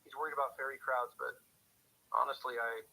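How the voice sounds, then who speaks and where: Man playing a bright, husky small-town police officer. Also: medium pitch, fairly even intonation, a little fast, full character voice, low-quality recording. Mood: wry, businesslike. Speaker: police officer on radio dispatch. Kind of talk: radio drama, scripted log narration and calls.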